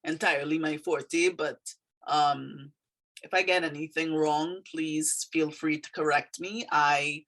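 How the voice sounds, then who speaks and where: A woman's voice: sits low, fairly even intonation, takes it slowly, fairly bright tone. A woman from Trinidad with a Trinidadian accent and a slight Canadian tinge, a poet explaining her poem in a thoughtful, hesitant way with many fillers. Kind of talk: casual explanatory talk.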